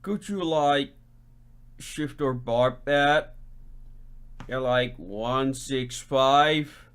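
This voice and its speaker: A man's voice: mid-range voice, fairly bright tone, smooth. A man voicing a half-asleep, lazy airship officer in a storytelling show, mumbling and drawing out his words. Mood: sleepy. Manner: drowsy, mumbling, drawn-out words.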